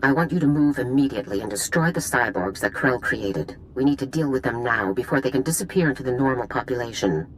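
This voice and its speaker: A stern, commanding general. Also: female voice, rumbling low, lively intonation, quick pace, few pauses, slight background noise, low-quality recording. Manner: clipped, authoritative, unbending. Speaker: army general. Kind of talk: video game cutscene acting.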